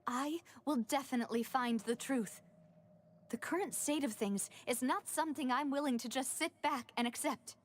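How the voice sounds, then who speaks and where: A woman's voice: high voice, moderate pace. A woman playing a determined, caring young woman in a video game, speaking earnestly and resolutely. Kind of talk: dramatic video game dialogue.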